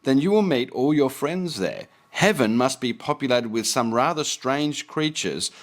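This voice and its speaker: A man's voice: medium pitch, expressive, a little slow. Earnest man preaching and reading aloud. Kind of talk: preaching commentary with read-aloud quotations.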